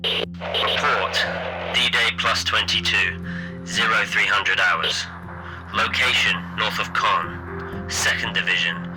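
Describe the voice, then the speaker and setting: Army radio operator, lowish voice, slow deadpan report, loud music behind. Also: male voice, poor audio. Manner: formal, measured, deadpan. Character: terse, formal military radio operator reading field reports. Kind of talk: clipped military report in audio play.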